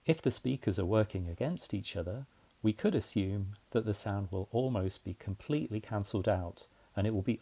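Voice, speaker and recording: A man's voice: lowish voice, creaky, warm tone, husky. A man narrating a scripted explainer in a methodical, plain-spoken way, as a tutorial presenter.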